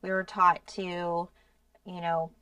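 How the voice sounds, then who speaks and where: A woman's voice: fairly low pitch, level tone, slow pace, pushed and bright, dry recording, distant mic, dull audio. A middle-aged American woman explaining things earnestly and a little hesitantly in a conversational podcast discussion. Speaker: podcast co-host explaining a grief program. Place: small indoor podcast studio. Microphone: podcast microphone on boom arm.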